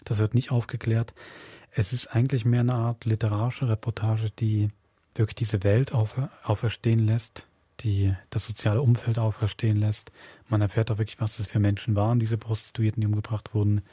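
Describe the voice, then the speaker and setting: Guy chatting on a podcast, husky and relaxed, explaining stuff casually. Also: lowish voice, brisk, no echo. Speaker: podcast host. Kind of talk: casual explanatory chat about a radio play.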